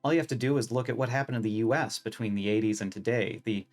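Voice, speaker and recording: A man's voice: medium pitch, fast talker. A man narrates a scripted video essay in a wry, opinionated, casually profane way.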